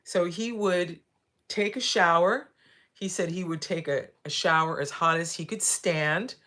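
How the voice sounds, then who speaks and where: Low-voiced sixty-year-old woman. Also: unhurried, bright, forceful tone. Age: 60s. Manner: conversational, with frequent tag questions and repetition. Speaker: host of a live video demonstration. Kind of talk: casual instructional talk.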